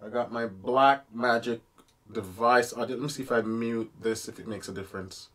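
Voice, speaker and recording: A man teaching piano on a live stream, chatting casually with viewers and working through his setup out loud. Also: medium pitch, moderate pace. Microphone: streaming mic.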